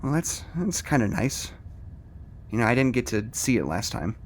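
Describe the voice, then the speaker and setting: A man's voice: medium pitch, very animated, moderate pace. A man playing a jaded, stubborn psychologist in an audio drama, blunt and exasperated in a naturalistic style. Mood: subdued, guarded. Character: jaded, frustrated male psychologist, stubborn and guarded. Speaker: actor in an audio drama podcast.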